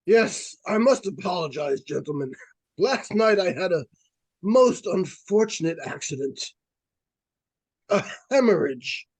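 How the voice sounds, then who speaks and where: A performer playing an ailing, courteous doctor in old-time radio suspense style, formal and halting, with a weak husky voice. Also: mid-range voice, expressive, a little mellow, poor audio. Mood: weak, apologetic. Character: ailing, courteous doctor shaken after a haunting. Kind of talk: 1940s radio suspense acting.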